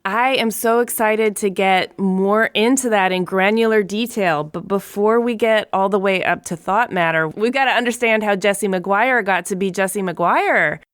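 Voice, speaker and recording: A woman's voice: medium pitch, moderate pace, few pauses, clear, resonant tone. A woman hosting a podcast, upbeat and enthusiastic as she introduces her guest. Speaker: podcast host. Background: music.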